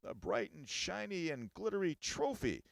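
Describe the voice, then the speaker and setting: A man reads a scripted news report in a high voice with a creaky edge. His delivery moves up and down with plenty of animation. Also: big pitch swings, moderate pace, a little hiss or hum. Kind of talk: scripted news package narration.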